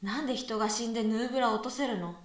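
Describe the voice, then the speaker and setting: Woman acting a skeptical student, high echoey voice. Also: rapid-fire, close to an everyday voice. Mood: reasoning, dry. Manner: dry, retorting. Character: skeptical, exacting young female university student. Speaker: voice actress in amateur radio drama. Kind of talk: conversational radio drama dialogue. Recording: echoey room, far from mic.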